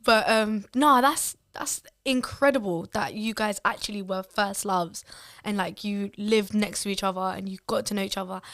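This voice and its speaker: Female co-host, expressive and chatty, dry studio sound. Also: mid-range voice, moderate pace, dry recording. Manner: chatty, expressive, laughs easily. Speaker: podcast co-host. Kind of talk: casual chat.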